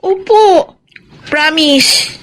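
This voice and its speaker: An actress playing a meek, apologetic teenage granddaughter in a radio drama, with a Filipino accent. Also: female voice, extremely high pitch, very slow, noisy background, full character voice. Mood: sheepish.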